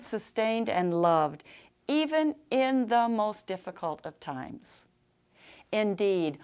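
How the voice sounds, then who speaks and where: An older American woman with a loud, projecting voice, giving an author's talk and reading in an emphatic storytelling manner. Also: mid-range voice. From Nebraska, United States. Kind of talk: public talk with anecdotes and book reading. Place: live talk to a library audience in a room.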